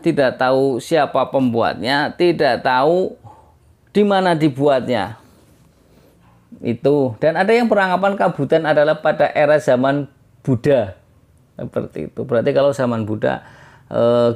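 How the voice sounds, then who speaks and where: Hoarse, gravelly man presenting. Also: medium pitch, darkish tone. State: hoarse, under the weather. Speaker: host of a heirloom dagger culture channel. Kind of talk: informal explanatory presentation to viewers.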